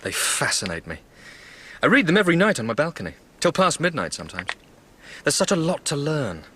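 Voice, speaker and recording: Earnest young man, lively intonation. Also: on the high side, a little fast, a little hiss or hum. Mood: eager. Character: earnest, polite, inquisitive young bourgeois man. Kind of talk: radio drama acting.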